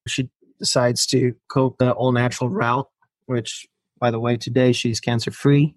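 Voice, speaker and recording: Man, from Finland, native Finnish speaker, lively storyteller, laughs often, dry close sound. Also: mid-range voice, moderate pace, dry recording. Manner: animated storyteller who acts out quoted lines and laughs often. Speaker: podcast guest. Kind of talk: casual storytelling interview.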